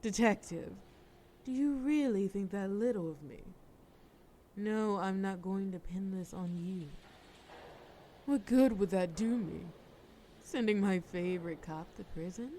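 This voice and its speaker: A woman's voice: medium pitch, a little slow, long pauses, dark tone, slight background noise, natural voice. A woman playing a sly, flirtatious mafia boss in an audio roleplay, with a wry, teasing, formal way of talking. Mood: smug, coaxing. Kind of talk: scripted audio roleplay acting.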